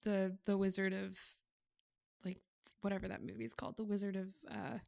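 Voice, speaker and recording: A woman's voice: mid-range voice, flat delivery, moderate pace, vocal fry, darkish tone. A woman hosting a comedy podcast, chatting casually and jokingly. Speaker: podcast host and performer. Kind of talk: casual comic podcast chat.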